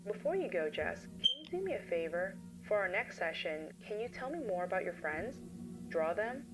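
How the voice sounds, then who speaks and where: A woman playing a concerned child therapist in a scripted horror series, speaking in a measured, gently questioning way. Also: mid-range voice, big pitch swings, close to an everyday voice, poor audio. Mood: gentle questioning. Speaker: therapist narrating session recordings. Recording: loud music over the voice. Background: loud music.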